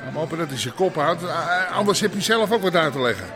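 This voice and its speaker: An actor playing a domineering middle-aged crime boss in a radio drama, gruff, bossy and swearing. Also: male voice, fairly high pitch, animated, fast talker, over music, natural voice. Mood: irritated. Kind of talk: naturalistic radio drama acting.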